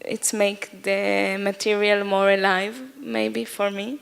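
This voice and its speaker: A woman's voice: medium pitch, flat delivery, takes it slowly, gravelly, smooth. A woman performer answering questions after a show, speaking hesitant English and groping for words. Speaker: performer in post-show talk. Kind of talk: post-performance discussion.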